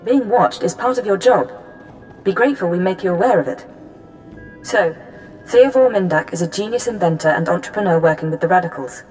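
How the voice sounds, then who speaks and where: Low-pitched, brisk female intelligence handler actress. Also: a little mellow, faint music behind, lightly acted, poor audio. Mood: brisk, cool. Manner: clipped, businesslike. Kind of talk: video game dialogue acting.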